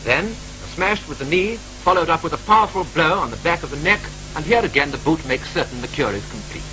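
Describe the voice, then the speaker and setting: A man with a British accent narrating a 1940s training film in a brisk, clipped, wryly matter-of-fact manner, from an old film soundtrack. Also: on the high side, animated, bright, forceful tone, soft background music, low-quality recording.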